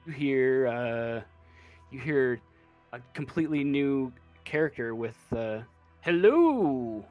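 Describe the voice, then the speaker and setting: A man with a medium-pitched voice narrates a tabletop game as game master. He goes slowly and hesitantly, hunting for words, with faint music underneath. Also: fairly even intonation, dull audio.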